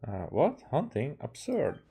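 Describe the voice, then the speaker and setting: A man, a game streamer reading dialogue aloud haltingly and correcting himself as he goes. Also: medium pitch, wildly swooping pitch, creaky, warm tone, slightly rough. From Hungary.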